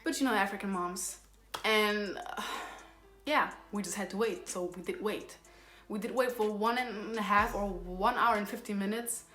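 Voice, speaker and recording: A woman's voice: medium pitch, fairly even intonation, moderate pace, a bit bright. A young woman whose first language is Somali tells a rambling, exasperated story in a casual storytime style and keeps correcting herself. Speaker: vlogger. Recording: roomy echo with faint background music.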